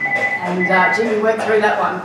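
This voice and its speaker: A woman's voice: medium pitch, little pitch movement, a little slow, very noisy. A woman giving a reflective personal talk at a public forum, with frequent ums and restarts. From Australia. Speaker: forum speaker. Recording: echoey hall recorded from a distance.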